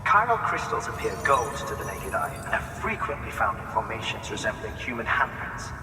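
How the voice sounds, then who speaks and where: An actor playing a fussy, eager scientist in a video game, instructing with pedantic, quirky flourishes. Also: male voice, lowish voice, big pitch swings, fairly quick, noisy background. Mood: eager, didactic. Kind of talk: scripted video game dialogue. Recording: distant, echoey hall with music.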